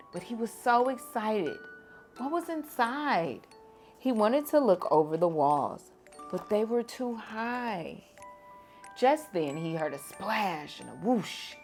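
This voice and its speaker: A woman reading a children's picture book aloud to kids in a gentle, expressive storytime manner. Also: medium pitch. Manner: gentle, expressive, lively on exclamations. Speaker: storytime reader.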